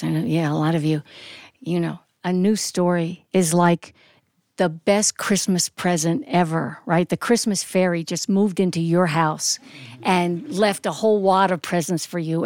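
A woman's voice: on the low side, darkish tone, no echo, studio quality. A middle-aged woman, a storyteller, talking informally and emphatically to an audience and acting out reactions. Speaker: storyteller and actress. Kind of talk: talk to a studio audience. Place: studio.

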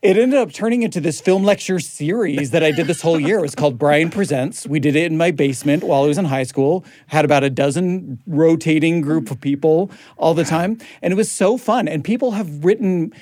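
A man's voice: fairly high pitch, a little fast, few pauses, darkish tone, dry recording. A man chatting casually as a guest, enthusiastic and full of fillers. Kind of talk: casual conversation.

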